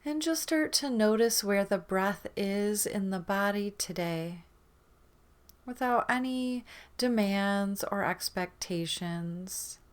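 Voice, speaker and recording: A woman leading a guided meditation in a gentle, soothing manner, leaving long pauses between phrases. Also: mid-range voice.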